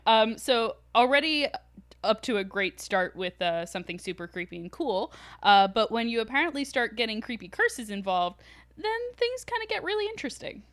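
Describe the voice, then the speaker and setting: Podcast co-host, woman, slightly high voice, dry recording. Casual, joking storyteller who swears freely. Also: big pitch swings, normal speed, fairly bright tone, dry recording. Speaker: podcast co-host telling film legends.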